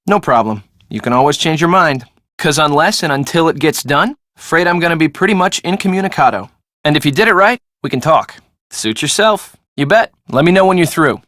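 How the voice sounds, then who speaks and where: A woman's voice: sits low, fairly bright tone, no echo, clean studio sound. An actress playing a breezy, sarcastic young woman in a video game, talking in a casual, slangy way. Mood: brisk. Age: young adult. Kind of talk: video game dialogue acting.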